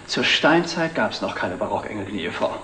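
A middle-aged actor playing a wry, wistful antique dealer, formal and dry, in a 1960s TV drama. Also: male voice, lowish voice, sing-song, normal speed, bright, forceful tone. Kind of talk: 1960s German television drama acting. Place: indoor antique shop set. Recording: noisy old TV film soundtrack.

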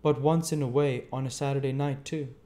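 A man's voice: medium pitch, fairly even intonation, brisk, mellow, voice pushed up, close to an everyday voice. A man reading aloud voices a secretive, cunning male stranger who asks probing, sly questions.

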